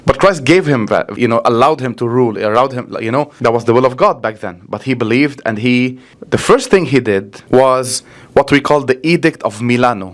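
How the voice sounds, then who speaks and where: A man with a Lebanese accent talks very quickly in the manner of a priest being interviewed. He explains things earnestly and with emphasis, often checking that the listener follows. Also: medium pitch, lively intonation. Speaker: Orthodox priest.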